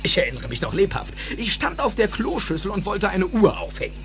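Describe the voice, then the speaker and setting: Excitable old scientist, high voice swooping all over, theatrical radio play, music behind. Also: male voice, brisk, full character voice. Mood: instructive, reminiscing. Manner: theatrical, emphatic, exclamatory, precise technical phrasing. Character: excitable eccentric older male scientist and inventor. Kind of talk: German audio drama dialogue.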